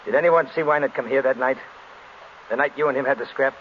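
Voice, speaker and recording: A man's voice: medium pitch, fast, lightly acted. Man acting a curt tough crook, muffled old recording. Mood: curt, suspicious. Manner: slangy tough-guy talk, curt. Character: tough, nervous small-time crook with a record. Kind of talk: 1930s radio crime drama acting. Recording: dull old radio recording with hiss.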